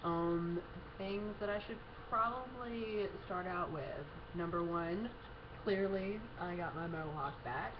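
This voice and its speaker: A man's voice: high voice, slow. A young man with a bad cold, rambling casually in a video diary with lots of fillers. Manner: rambling, heavy on "you know" and "um" fillers. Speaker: vlogger. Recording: dull lo-fi audio with steady hiss.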